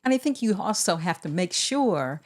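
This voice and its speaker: A woman co-hosts a podcast in relaxed conversation, her voice sitting slightly low. She talks in a measured, deliberate way, laying out advice step by step. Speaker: podcast co-host. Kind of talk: casual conversation.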